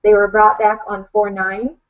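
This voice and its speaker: A woman's voice: mid-range voice, flat delivery, moderate pace. A woman speaking emphatically and frankly as a podcast guest, giving a casual personal account. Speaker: animal rescue advocate, podcast guest. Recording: dull lo-fi podcast recording.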